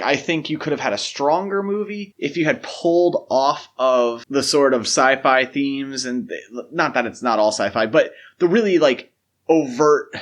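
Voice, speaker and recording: Man chatting as a film podcast host. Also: medium pitch. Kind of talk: casual film discussion.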